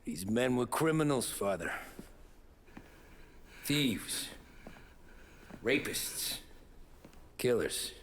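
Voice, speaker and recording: A man's voice: medium pitch, very animated, extremely slow, long pauses, slight background noise. A man playing a tough, menacing but merciful American mobster in a video game crime drama, with blunt, slangy talk. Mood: hard, unrepentant. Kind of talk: cinematic crime drama acting. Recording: music under the dialogue.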